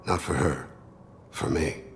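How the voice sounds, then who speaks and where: A man voicing a solemn, devout alien assassin in a video game, deep and gravelly, speaking in a measured, formal, reflective way. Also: faint music behind. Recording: long hall reverb.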